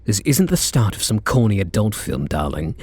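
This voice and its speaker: A man's voice: on the low side, sing-song, normal speed, a little mellow, breathy edge, dry recording. Man acting a flirty British kidnapper, swooping intonation. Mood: grudging, exasperated. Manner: teasing, flirtatious, murmuring pet names. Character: charming, teasing male kidnapper, softening toward captive. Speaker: ASMR roleplay voice actor. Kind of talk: intimate ASMR roleplay acting.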